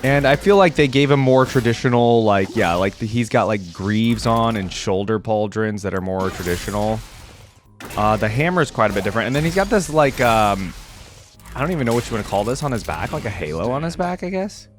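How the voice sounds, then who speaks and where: A man's voice: fairly low pitch, expressive, fairly quick. A man giving casual, off-the-cuff reaction commentary as a video host, musing aloud in slangy talk. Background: music and video game sounds.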